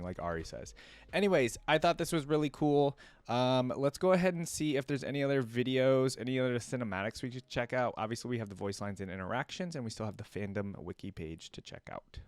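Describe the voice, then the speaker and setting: A man's voice: mid-range voice, no room sound. A man hosting a reaction video, giving casual, informal commentary in a conversational way. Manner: informal, conversational, checks in with "you know what I mean". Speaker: reaction video host and streamer.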